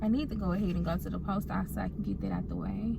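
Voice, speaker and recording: Young woman, Mississippi Southern accent, relaxed rambling vlog talk, phone camera in a car, loud road rumble. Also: rapid-fire, gravelly. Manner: relaxed, rambling, many fillers. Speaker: mom vlogger. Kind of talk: casual chat while driving. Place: inside a car. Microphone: phone camera on a stand.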